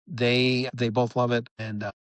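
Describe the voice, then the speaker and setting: A middle-aged man with a mid-pitched voice talks casually as an interview guest. He is enthusiastic and rambles, breaking off and restarting as he tells things. The sound is dry, with very little room in it. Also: flat delivery, a little slow, dry recording. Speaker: rock musician, podcast guest.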